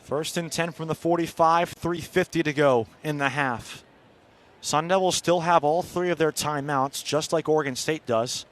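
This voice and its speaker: A man's voice: a little high, normal speed, a bit bright. A man calling live college football on the radio as play-by-play announcer, in clipped descriptive phrases that swell on big plays.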